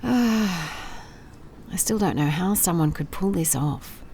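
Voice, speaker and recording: A woman's voice: on the low side, animated, takes it slowly, mellow, a little hiss or hum. A woman playing a wry, methodical private investigator in an audio drama, musing and dictating case notes in a dry manner. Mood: puzzled. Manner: dry, musing, clipped note-taking. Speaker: lead actor in audio drama. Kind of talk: first-person audio drama case log.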